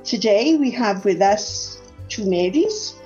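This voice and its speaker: A woman's voice: mid-range voice, lively intonation, takes it slowly, a little mellow, dull audio, faint music behind. A woman, hosting a podcast and putting questions to her guests in an organised, friendly way. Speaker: podcast host.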